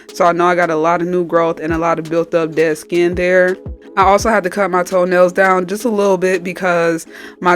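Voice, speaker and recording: A woman nail technician with a stuffy, slightly nasal voice from a cold, casually explaining each step in a tutorial voice-over. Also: on the low side. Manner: chatty, explains each step as she goes. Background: faint background music.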